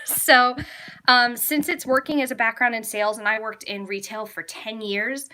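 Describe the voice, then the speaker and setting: A woman's voice: mid-range voice, sharp tone. A young adult American woman chatting casually and enthusiastically to viewers as a travel vlogger, in a rambling way. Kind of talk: casual live Q&A chat to viewers.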